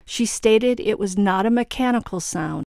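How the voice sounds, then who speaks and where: A woman's voice: medium pitch, moderate pace, gravelly, darkish tone, no echo, studio quality. A woman delivering scripted true-crime commentary in a pointed, questioning manner.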